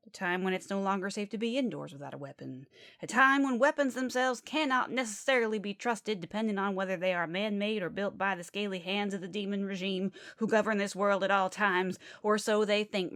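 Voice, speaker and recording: A woman's voice: medium pitch, a bit bright, dry recording, studio quality, natural voice. A woman playing a zealous, chatty Baptist preacher with a Southern US accent, rambling emphatically through a midnight radio sermon.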